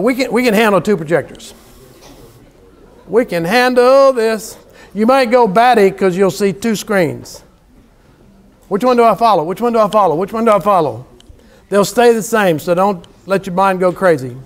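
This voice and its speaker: A man from South Louisiana with a Southern US accent, bantering informally with a class as a lecturer, folksy, joking and prone to exclaim. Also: sits high. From South Louisiana, USA. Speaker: university lecturer. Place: university classroom.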